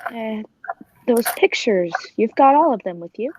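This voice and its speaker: An actress playing a sly, scheming circus tiger tamer in a 1940s-style radio play, speaking in a pointed, probing way. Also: female voice, a little high, expressive, unhurried, noisy background.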